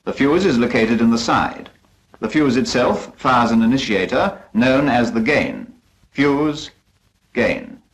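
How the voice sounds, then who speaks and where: A man's voice: medium pitch, expressive, takes it slowly. A British man narrating a 1940s instructional film from a script in a formal, precise, instructive manner.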